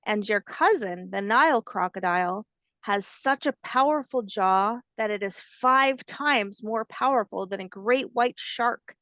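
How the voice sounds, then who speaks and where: A woman voicing a kindly, patient old grandmother alligator, telling facts in a gentle storybook manner. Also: medium pitch, unhurried, no room sound, lightly acted. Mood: proud, instructive. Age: older.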